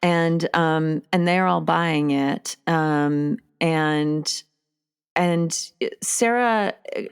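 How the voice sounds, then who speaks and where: Low-voiced woman chatting about films. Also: extremely slow, clean tone. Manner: chatty, searching for words, many fillers. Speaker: podcast host. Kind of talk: casual film discussion.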